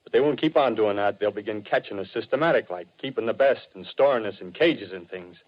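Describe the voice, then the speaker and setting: An actor playing an embittered, scheming militia survivor with feverish plans, ranting in slangy speech in a 1930s radio drama. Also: male voice, on the low side, a little fast, close to an everyday voice. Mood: cynical, insistent. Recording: muffled vintage 1930s radio broadcast.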